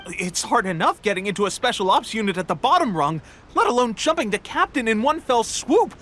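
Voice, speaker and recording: A man playing an excitable, boyish young soldier in a video game, eager, brash and earnest. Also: sits high, fairly quick, full character voice. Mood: astonished. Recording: light music behind the voice.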